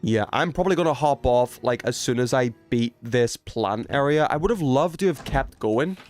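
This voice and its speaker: Male game streamer, very lively intonation, loose slangy chat, thinks out loud, music behind. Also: medium pitch, normal speed. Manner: thinking aloud, self-questioning, trailing off; informal slangy speech. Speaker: game livestreamer. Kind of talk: casual livestream gameplay chat.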